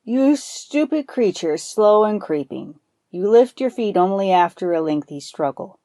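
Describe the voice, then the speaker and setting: A woman voicing a harsh, impatient male farmer in a folktale, scolding slowly and emphatically. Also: mid-range voice, lively intonation, mellow, no room sound, studio quality, natural voice.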